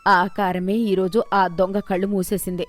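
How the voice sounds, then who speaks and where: A woman's voice: mid-range voice, little pitch movement, moderate pace, soft background music, close to an everyday voice. Woman voicing a crafty, bossy old mother-in-law. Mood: calm, triumphant. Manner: commanding, scolding.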